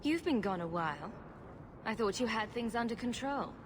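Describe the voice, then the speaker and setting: An actress voicing a confident, sarcastic rogue adventurer in a video game, cool and commanding. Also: female voice, on the high side, lively intonation, moderate pace, background noise, lightly acted. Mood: cool sarcasm. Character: confident, wry young female rogue adventurer. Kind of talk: video game cutscene acting.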